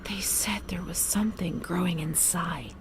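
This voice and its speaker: A woman playing a fragile, haunted young former asylum patient in a narrative game, delivering a slow, halting, confessional monologue in a breathy voice that often sinks to a whisper. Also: on the low side, lightly acted. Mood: traumatized, anguished. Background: music.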